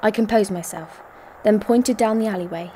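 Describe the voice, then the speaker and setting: A woman's voice: mid-range voice, normal speed, warm tone, close to an everyday voice. A woman playing a tough, tense heist operative who narrates her own story in a radio drama. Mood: tense narration. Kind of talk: radio drama first-person narration with acted dialogue. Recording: noisy recording with vehicle ambience.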